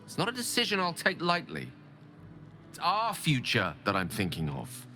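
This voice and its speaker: Ambitious fantasy game wizard, animated swooping delivery, eloquent, earnest, embittered, theatrical, music underneath. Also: male voice, on the high side, normal speed, heavily acted. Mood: earnest, persuasive. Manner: articulate, theatrical, earnest, formal phrasing. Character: ambitious, eloquent, embittered male wizard pleading his case. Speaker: video game companion character. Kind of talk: dramatic game dialogue acting. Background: background music.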